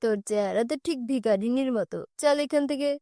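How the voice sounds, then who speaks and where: A woman's voice: fairly high pitch, brisk, warm tone, no echo, studio quality, pitched below the performer's own voice, natural voice. A woman voicing a suspicious, dismissive female shopkeeper in a cartoon, curt and insulting.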